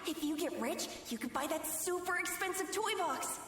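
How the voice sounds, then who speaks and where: A woman's voice: extremely high pitch, animated, normal speed, a bit bright, distant mic, slight background noise, full character voice. A woman voicing an eager little boy who dreams of food, heard in an echoing flashback. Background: game music.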